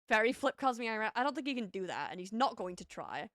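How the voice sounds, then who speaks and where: A woman's voice: a little high, fairly bright tone, no room sound. Bubbly, giggly British woman streaming. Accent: light British, partly American-sounding. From United Kingdom. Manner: bubbly, giggly, playfully sassy. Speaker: streamer, VTuber artist. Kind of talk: casual live-stream chat reading viewer messages.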